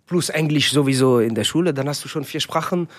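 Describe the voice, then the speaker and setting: A man's voice: fairly high pitch, brisk. A man who grew up with French as his first language, a comedian and actor chatting casually in an interview, with many restarts and fillers. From half French, half Tunisian, raised in Germany.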